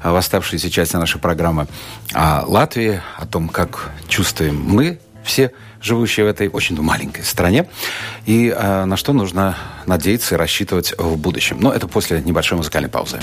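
A man's voice: sits low, animated, a little fast. A man hosting a radio interview, introducing his guest and pressing him with short follow-up questions. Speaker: radio host.

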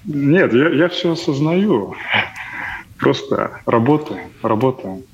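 Man, deep velvet voice, conversational, halting repetitions, muffled recording, slight background noise. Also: very animated. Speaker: guest, acting student. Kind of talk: conversational interview answers.

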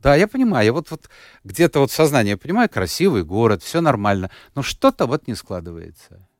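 A man's voice: mid-range voice, big pitch swings, a little fast, dry recording, clean studio sound. A man being interviewed who talks in a rambling way, with many fillers and restarts, and sounds like he is getting over an illness. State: unwell, recovering. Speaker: radio host, guest here. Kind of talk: relaxed conversational interview.

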